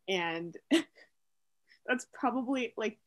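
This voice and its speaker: A woman's voice: on the high side, lively intonation, takes it slowly. A young woman hosting a video, commenting in a casual, informal and slightly apologetic way. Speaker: video host.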